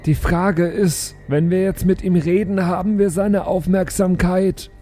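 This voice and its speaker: A man's voice: high voice, steady tone, a little slow, warm tone. A man playing a tabletop adventure, improvising in character in a conversational way. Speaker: role-playing game player. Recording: music behind the voice. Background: music.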